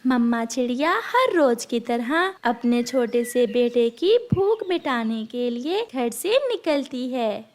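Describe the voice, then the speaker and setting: A woman narrates a children's cartoon story. Her voice is very high and she tells it slowly, phrase by phrase, as a scripted storyteller. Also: animated.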